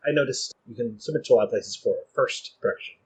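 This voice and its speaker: A man's voice: mid-range voice, quick pace, husky, poor audio. A man hosting a podcast, asking interview questions in a casual style.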